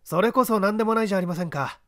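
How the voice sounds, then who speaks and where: Man acting a high-pitched, confident detective in an audiobook. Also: very fast, fairly bright tone, no echo, clean studio sound. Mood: confident, explaining. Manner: polite, commanding, didactic. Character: coolly confident male detective, brisk, unruffled, explaining patiently. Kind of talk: audiobook character dialogue.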